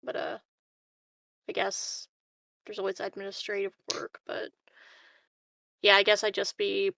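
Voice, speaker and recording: A woman's voice: medium pitch, monotone, a little slow, creaky, sharp tone, dry recording. A woman playing a tabletop game, chatting casually and a little tentatively about what her character is doing. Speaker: tabletop roleplaying player.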